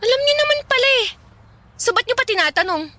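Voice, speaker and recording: Teen rebel for radio drama: piercingly high, sharp, insolent and bitter with grief, music underneath. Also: female voice, sing-song, fast talker, exaggerated voice. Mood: irritated, defiant. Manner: sarcastic, insolent back-talk. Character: rebellious grieving teenage stepdaughter, defiant and bitter. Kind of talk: Tagalog radio drama acting. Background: background music.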